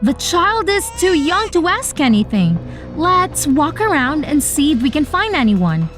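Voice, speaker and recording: A woman performing an earnest young heroine of an animated story, telling it in a high, swooping voice. Her delivery is expressive and dramatic, with music playing behind her. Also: unhurried, heavily acted. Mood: earnest storytelling. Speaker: narrator and lead character. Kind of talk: animated first-person story narration with acted dialogue. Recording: voice over background music.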